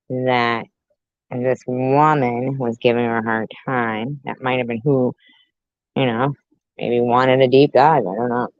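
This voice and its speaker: A woman's voice: a bass voice, dry recording, lo-fi sound. A woman doing sarcastic reaction commentary on a YouTube video, with mocking asides.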